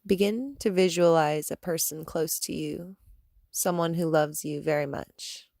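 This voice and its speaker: A woman guiding a meditation as a yoga teacher, speaking in a calm, soothing manner with gentle instructions and long pauses. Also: low-pitched, creaky, no room sound, clean studio sound. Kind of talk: guided meditation.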